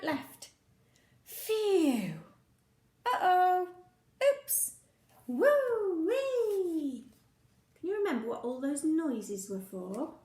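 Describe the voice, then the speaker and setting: High-pitched woman reading animatedly to young children. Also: echoey room. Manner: expressive, playful sound effects, exaggerated delivery. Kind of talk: animated children's read-aloud with questions to the listener.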